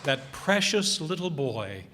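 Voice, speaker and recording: A man's voice: fairly high pitch, very animated, takes it slowly. A man acting as master of ceremonies, introducing a cast in a live curtain-call announcement. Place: live performance hall. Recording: echoey hall with noticeable noise.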